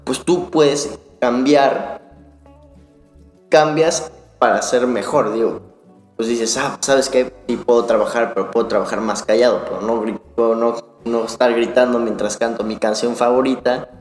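A man's voice: mid-range voice, steady tone, unhurried. A young man co-hosting a podcast, talking conversationally about self-improvement. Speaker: podcast co-host. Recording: echoey long reverb, music behind the voice. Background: music.

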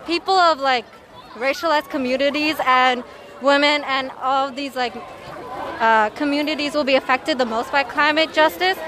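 Very high-voiced young woman, impassioned. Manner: impassioned, lots of "like". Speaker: protester. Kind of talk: street interview. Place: outdoor street protest. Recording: outdoor crowd and street noise.

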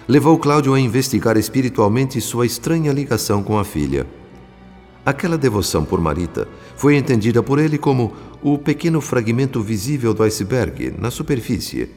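A man with a Brazilian accent playing a solemn, compassionate narrator, telling a radio drama in measured literary phrases. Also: fairly low pitch, animated, a little mellow. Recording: long reverb with music behind. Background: music.

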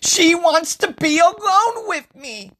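A woman voicing an excitable, naive, lovestruck young man in a story, exclaiming in an over-eager way. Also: very high voice, slow, slight background noise, voice pushed up, heavily acted. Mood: giddy, exclaiming.

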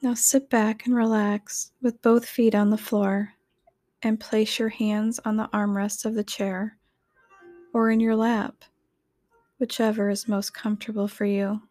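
A woman reading a scripted guided meditation in a soothing, gentle, measured manner. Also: mid-range voice, level tone, no echo, soft background music.

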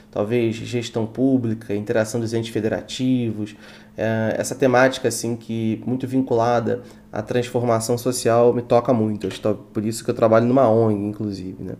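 A man's voice: medium pitch, dark tone. A young man from Brazil, a guest on a podcast, speaking earnestly and a little formally with some hesitations. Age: young adult. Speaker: guest, student event organizer. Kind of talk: casual podcast interview.

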